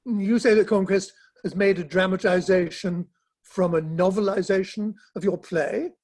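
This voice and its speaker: A man playing a cautious, sceptical Victorian gentleman, speaking politely and probingly in a dramatic reading. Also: high-pitched, steady tone, moderate pace. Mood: sceptical, cautioning.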